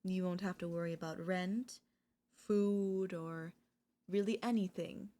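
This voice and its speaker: A woman voice actor playing an obsessive older female professor in an ASMR audio roleplay, coaxing and deliberate, with dramatic pauses. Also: on the low side, steady tone, dark tone, close to an everyday voice. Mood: coaxing, earnest.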